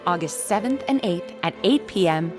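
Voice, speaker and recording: A woman's voice: lowish voice, lively intonation, moderate pace, over music. A woman reading a scripted promo as a radio announcer.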